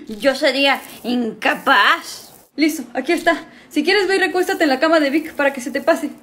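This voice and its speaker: High-voiced young woman acting a sketch. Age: young adult. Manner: lively, quick character switches. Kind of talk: comedy sketch playing several characters. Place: small indoor room at home.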